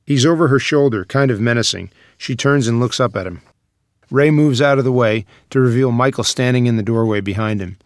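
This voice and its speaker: A man's voice: medium pitch, brisk, no echo, studio quality. A man reading stage directions as scripted screenplay narration.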